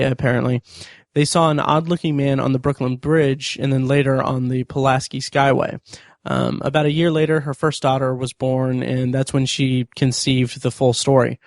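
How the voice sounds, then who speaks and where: A man's voice: mid-range voice, a little mellow, no echo. Man reviewing on a podcast, dry close sound. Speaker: podcast host. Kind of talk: podcast review commentary from notes.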